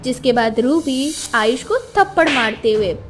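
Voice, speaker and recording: A woman's voice: high voice, expressive, a little slow, faint music behind. A woman narrator reading a scripted story in a dramatic storytelling style.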